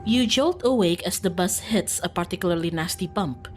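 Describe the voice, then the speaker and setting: A woman's voice: fairly low pitch, moderate pace. A woman livestreaming a visual novel, reading the game text aloud and breaking off into playful, self-teasing, casual asides to viewers. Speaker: streamer playing a visual novel. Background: faint game music.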